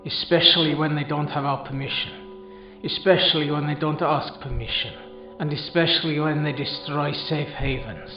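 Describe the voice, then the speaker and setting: Male, actor as a vengeful, formal head of state, raised pitch, loud music behind, radio drama monologue. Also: natural voice. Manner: formal, deliberate, menacing. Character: menacing, vengeful male foreign leader, coldly formal. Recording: dull, echoey recording under loud music.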